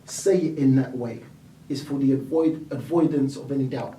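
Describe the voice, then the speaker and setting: A man guesting on an interview show, telling stories with lively quoted voices, from the United Kingdom. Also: mid-range voice, moderate pace, slight background noise. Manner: animated storyteller, acts out quoted voices. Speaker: property investor and mentor. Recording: echoey room, mic at a distance.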